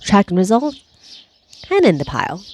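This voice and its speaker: A woman leading a guided energy clearing session, speaking slowly and soothingly, with repeated cues and breathy sighs. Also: medium pitch, wildly swooping pitch, background noise. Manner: slow, soothing, repetitive guiding with breathy sighs. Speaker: energy healing facilitator.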